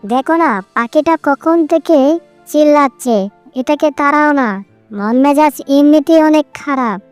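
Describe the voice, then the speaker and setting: A woman's voice: high voice, moderate pace, darkish tone, no echo, faint music behind, natural voice. A woman voicing small female characters in a children's cartoon, such as a fairy or a little bird. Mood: worried, annoyed.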